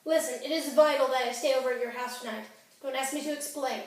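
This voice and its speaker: A woman's voice: sits high, fairly even intonation, fast, lightly acted. A woman performing a theatrical monologue as an angry, wounded fifteen-year-old girl, melodramatic and sarcastic. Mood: urgent, pleading. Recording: echoey room, distant microphone.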